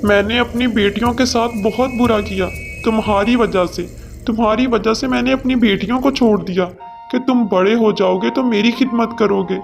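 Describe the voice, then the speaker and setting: Man acting stern cartoon father bird, high voice, declamatory, long reverb, music underneath. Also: fairly even intonation, normal speed, gravelly. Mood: hurt, regretful. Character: stern, self-serving father bird, later wronged and regretful. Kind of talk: Hindi children's cartoon dubbing.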